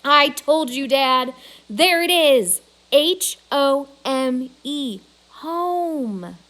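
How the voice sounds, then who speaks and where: A woman's voice: sits high, lively intonation, extremely slow, fairly bright tone, pitched above the performer's own voice, heavily acted. A woman voicing an eager, insistent young girl in a storybook, speaking emphatically and pleading her case. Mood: triumphant.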